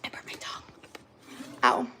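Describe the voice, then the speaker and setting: A woman in her twenties with a raspy, slightly hoarse voice, chatting casually on a livestream, filling her talk with "like". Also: normal speed, pushed and bright, whispered. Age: 20s. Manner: chatty, lots of fillers like "like".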